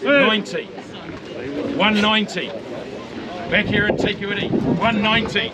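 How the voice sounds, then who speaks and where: A man with a New Zealand accent working as a livestock auctioneer, rattling off bids in a rapid, repetitive chant and coaxing buyers at an outdoor saleyard. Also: sits high. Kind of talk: auction bid calling. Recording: loud crowd and livestock noise at an outdoor sale.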